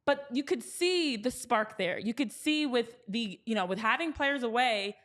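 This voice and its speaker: A woman's voice: fairly high pitch, animated, moderate pace, a bit bright. A woman hosting a sports show, talking casually and conversationally.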